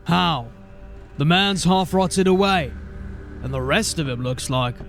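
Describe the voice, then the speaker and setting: An actor playing a blunt, commanding veteran sergeant of a city watch. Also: male voice, on the high side, expressive, unhurried, gravelly. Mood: grim. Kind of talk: audio drama acting. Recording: music bed under the voice. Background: music.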